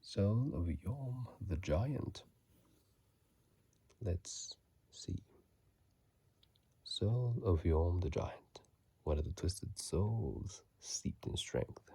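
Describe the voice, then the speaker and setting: A man whispering softly and closely into a phone mic in an unhurried ASMR style, reading and chatting casually about a video game. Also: low-pitched, animated, breathy edge.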